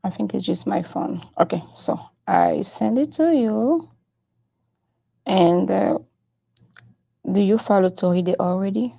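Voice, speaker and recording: A French-speaking woman hosting a live-streamed interview, talking in a halting, unhurried way and moving between English and French. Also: medium pitch, gravelly, mellow. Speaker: host of a live show.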